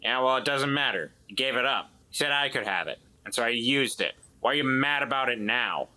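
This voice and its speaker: A man's voice: mid-range voice, lively intonation, fairly quick, pushed and bright, low-quality recording. A man playing a defensive, evasive and distrustful man in a tabletop drama, stammering and repeating himself as he explains. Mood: defensive, irritated.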